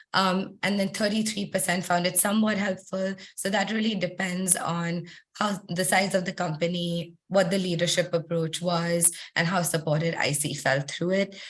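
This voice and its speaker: Woman presenting, low-ish slightly hoarse voice. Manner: conversational, with frequent ums and throat clearing. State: losing her voice. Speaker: webinar presenter. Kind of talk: presenting survey results in a webinar. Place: online webinar.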